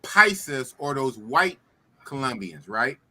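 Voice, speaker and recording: A man's voice: mid-range voice, big pitch swings, unhurried, pushed and bright. A man hosting a casual live stream in a slangy way, repeating phrases for emphasis. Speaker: podcast host. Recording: clicky, clearly noisy stream audio.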